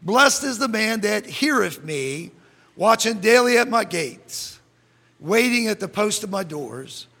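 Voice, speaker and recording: A middle-aged man preaching a sermon in a church, reading scripture and rising into fervent shouts. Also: high-pitched, big pitch swings, a little slow, vocal fry. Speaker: pastor. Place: church sanctuary. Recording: long church hall reverb.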